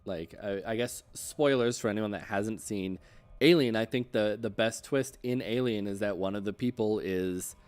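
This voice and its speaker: A man with a low, smooth and resonant voice hosts a relaxed chat show interview. He talks informally, like someone in casual conversation with a guest. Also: moderate pace. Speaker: show host interviewing a game designer.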